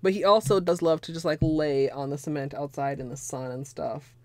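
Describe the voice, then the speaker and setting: Hoarse young woman, rambling livestream chatter, dry close sound. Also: low-pitched, steady tone, fairly quick, darkish tone, no room sound. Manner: chatty, rambling, many "like" fillers. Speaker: nail art livestreamer. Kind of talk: casual livestream tutorial. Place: small home room with space heater.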